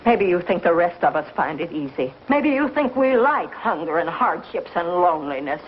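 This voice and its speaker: A woman's voice: fairly low pitch, very animated, normal speed, slight background noise. An actress playing a stern, pious pioneer woman, emphatic and reproachful, in a 1950s television western. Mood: scolding. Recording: muffled 1950s TV soundtrack with music.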